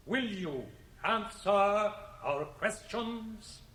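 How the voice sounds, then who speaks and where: Grandiose stage magician, high-voiced and echoing. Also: male voice, animated, loud music behind the voice, full character voice. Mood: grand, invoking. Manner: theatrical, incantatory, measured proclamation. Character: grandiose stage magician commanding a solemn illusion show. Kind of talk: 1980 radio drama acting. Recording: echoey theatre effect, cassette radio transfer.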